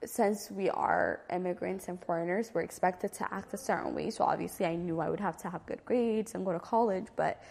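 A woman's voice: lowish voice, fairly quick, creaky, few pauses, darkish tone. A woman around thirty, from Ethiopia and raised in San Diego, answering interview questions in an informal, reflective, hesitant way. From Ethiopia, raised in San Diego from age nine. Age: 30s. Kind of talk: oral history interview, casual recollection. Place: community center room. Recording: long reverberant hall-like echo.

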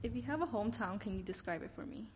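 A woman conducting an oral history interview, asking gentle questions that she often restarts with "um.". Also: mid-range voice, expressive, rapid-fire. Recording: reverberant room, faint noise.

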